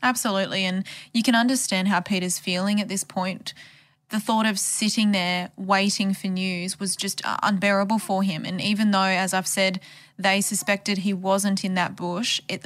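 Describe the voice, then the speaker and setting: A woman's voice: medium pitch, fairly bright tone, dry recording. Australian woman, mid-range voice, conversational true crime podcast reporter, close dry recording. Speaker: podcast journalist explaining a case.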